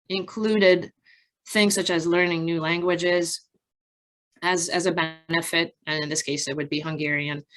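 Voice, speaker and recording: A woman researcher giving an academic presentation from slides in a measured, halting, explanatory way with frequent pauses. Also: lowish voice, fairly bright tone, poor audio. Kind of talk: conference research presentation, partly improvised from slides.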